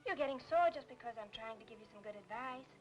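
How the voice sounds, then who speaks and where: An actress playing an earnest, naive and presumptuous younger sister in a 1940s film noir, insistent in manner. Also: female voice, on the high side, animated, fast talker, soft background music, natural voice, poor audio. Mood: insistent, superior.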